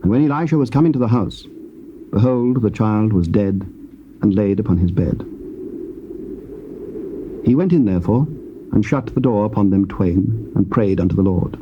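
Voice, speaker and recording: A man narrating scripture in a measured, stately way in a dull, lightly hissy old tape recording. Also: lowish voice, lively intonation.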